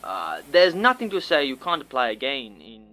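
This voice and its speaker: An actor playing a formal, apologetic director, speaking in a measured, slightly hesitant way as if leaving a voicemail. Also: male voice, medium pitch, huge pitch swings, sharp tone. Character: formal, apologetic middle-aged male selection director. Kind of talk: scripted audio drama voicemail.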